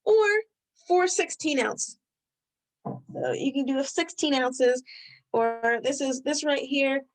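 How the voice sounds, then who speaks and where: A woman with a Rhode Island accent hosting a live health webinar, talking loosely and thinking out loud as she goes. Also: a little high, rough recording. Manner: loose, conversational, thinks aloud while reading the chat. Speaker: webinar host.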